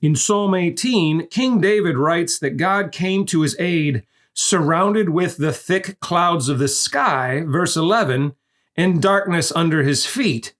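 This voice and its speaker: Higher-pitched man, animated scripted explainer. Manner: didactic, emphatic, poses questions and answers them. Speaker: narrator of a Bible prophecy course.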